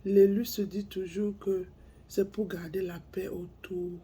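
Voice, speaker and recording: A woman with a cold speaking to her viewers in a slow, halting monologue. She repeats herself and drops to near-whispers. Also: mid-range voice, little pitch movement, warm tone, breathy edge. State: has a cold, congested. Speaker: spiritual speaker.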